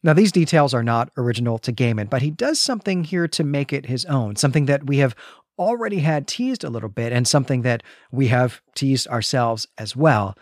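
A man's voice: medium pitch, animated, a little fast, no room sound, clean studio sound. A man co-hosting a podcast, recapping and discussing a story with emphatic repetition. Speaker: podcast co-host.

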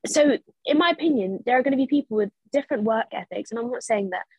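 A woman's voice: a little high, animated, fast talker, husky, no echo, highs cut off. A young woman who is a jockey, speaking earnestly and emphatically as a podcast guest. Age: young adult. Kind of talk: casual discussion.

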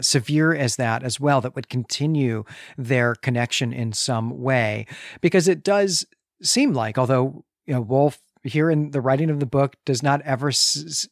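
A man co-hosting a podcast, reasoning through a literary discussion in a deliberate, reflective, conversational way. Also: medium pitch, no echo, clean studio sound. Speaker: podcast co-host.

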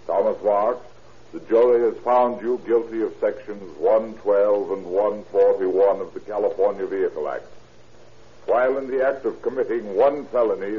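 An actor playing a grave, severe judge, solemn and declamatory in 1930s radio drama style. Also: male voice, mid-range voice, lightly acted. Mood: solemn, stern. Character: stern, condemning male judge, grave and severe. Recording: muffled vintage radio recording.

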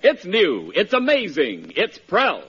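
A man's voice: high-pitched, wildly swooping pitch, slow, fairly bright tone. A man announcing and narrating in grand, scripted 1940s radio style. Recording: dull vintage radio recording, husky sound.

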